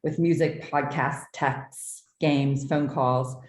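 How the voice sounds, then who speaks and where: A woman from the southeastern United States presents her own art in a prepared talk, with measured, articulate, formal delivery. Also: extremely low pitch, steady tone. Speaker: artist presenting own work. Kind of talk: prepared artist talk, read from notes. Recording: roomy, echoing sound.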